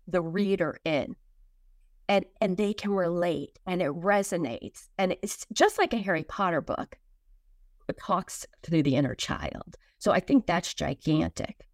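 Woman, very slow delivery, reflective, deliberate phrasing, dry close recording. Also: fairly low pitch, expressive, no echo. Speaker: children's book author, podcast guest. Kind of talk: reflective interview conversation.

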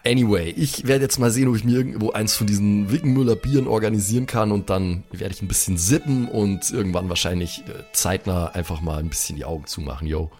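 A man's voice: on the low side, fast talker, few pauses, darkish tone, faint music behind, natural voice. A man playing a weary, irritable young slacker musician in an improvised roleplay audio drama, drawling street slang mixed with English. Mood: weary, fed up.

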